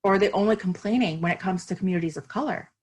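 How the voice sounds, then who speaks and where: A woman's voice: medium pitch, rapid-fire, slightly rough. A woman author from Miami, speaking earnestly and reflectively as a podcast interview guest, with fillers and restarts.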